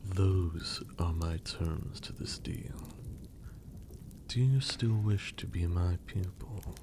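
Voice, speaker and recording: Man acting slow, whispering, teasing male vampire master. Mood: calm, menacing. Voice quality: breathy, low, creaky. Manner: slow, deliberate, formal, seductive. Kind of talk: whispered ASMR roleplay.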